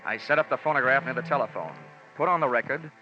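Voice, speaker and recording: A man's voice: medium pitch, lively intonation, quick pace, close to an everyday voice. An American man acting a cynical, wisecracking freelance investigator who narrates his case dryly in an old radio detective drama. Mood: wry. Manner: dry, wisecracking, clipped storytelling. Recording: dull old radio recording with music.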